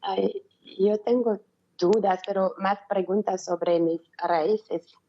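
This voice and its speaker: A woman in her thirties from Belgium, a remote interview guest, speaking haltingly in simple phrases and repeating words. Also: medium pitch, steady tone, muffled recording. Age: 30s.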